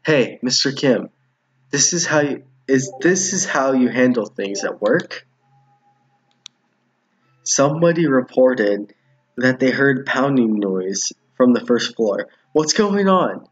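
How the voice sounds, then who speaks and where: A man's voice: mid-range voice, animated, takes it slowly, slightly rough, dull audio. A man voicing a cold, bullying male school principal for a visual novel, commanding and threatening. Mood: scolding.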